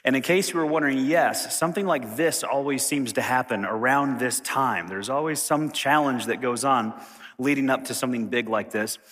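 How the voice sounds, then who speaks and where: Guy pastor giving a sermon, bit raspy throat, friendly and chatty, church hall echo. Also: mid-range voice. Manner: friendly, conversational, lively. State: slightly raspy throat after being sick. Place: church sanctuary. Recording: reverberant hall.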